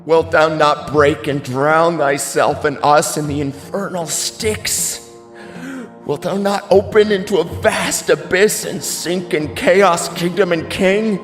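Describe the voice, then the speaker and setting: An actor playing an anguished, ailing father in a classical tragedy, declaiming grave, halting verse in a grandiloquent way. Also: high voice. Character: anguished ailing father, estranged brother of a tyrant king. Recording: long hall reverb, music underneath.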